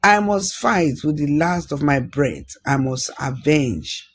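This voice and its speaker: A man's voice: on the high side, animated, slow. A man voicing a vengeful, stubborn young prince in a folktale, with emphatic, dramatic delivery. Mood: defiant, vengeful.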